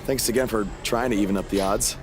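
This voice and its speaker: A man's voice: on the low side, fairly even intonation, quick pace, music in the background, close to an everyday voice. A man playing an earnest, wisecracking young champion wrestler in a video game cutscene, speaking casually. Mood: earnest, doubtful. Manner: casual, conversational.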